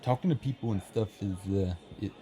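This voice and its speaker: A man's voice: on the low side, brisk, mellow, lightly acted. A man playing a shy, friendly young writer in an ASMR roleplay, chatting bashfully and earnestly, a little hesitant. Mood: shy, apologetic. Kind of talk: ASMR roleplay, soft conversational acting. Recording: busy café crowd murmur behind. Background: café crowd chatter.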